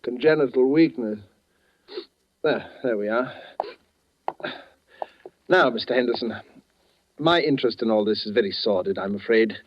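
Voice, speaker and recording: An actor playing a sinister, money-hungry gentleman with over-polite, insinuating manners, in 1940s radio suspense style. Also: mid-range voice, normal speed, pauses often, noisy background, natural voice. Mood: smooth, confiding. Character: sinister, courteous, money-hungry man with weak eyes, holding a gun. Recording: dull vintage 1940s radio recording.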